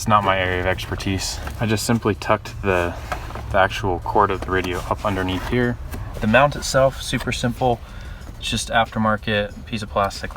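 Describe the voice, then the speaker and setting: A young adult man with a fairly low voice talks casually through a hands-on demonstration. His phrasing is informal and laid-back. He sounds a bit away from the camera's mic, with clear noise around him. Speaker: vlogger showing a car radio install. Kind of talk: casual hands-on video walkthrough. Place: in and around a parked car. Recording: noisy, distant camera mic around a vehicle.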